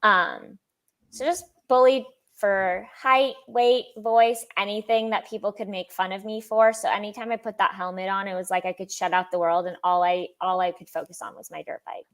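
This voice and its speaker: A young woman with a high-pitched voice talks through her life story as an interview guest. She is chatty and lively, often laughing and playing out what other people said. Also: normal speed. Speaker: sponsored athlete guest.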